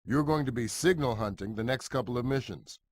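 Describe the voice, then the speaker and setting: Guy playing intel boss man, brisk and matter of fact, middle pitch, game mission briefing. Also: dry recording, close to an everyday voice. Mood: businesslike. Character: businesslike male intelligence commander briefing an agent.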